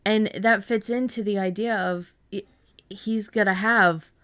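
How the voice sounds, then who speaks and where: A woman's voice: medium pitch, fairly even intonation, unhurried, fairly bright tone, clear, resonant tone, no room sound. A woman co-hosting a podcast in casual chat, effusive and rambling, with informal, mildly sweary phrasing. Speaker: podcast co-host.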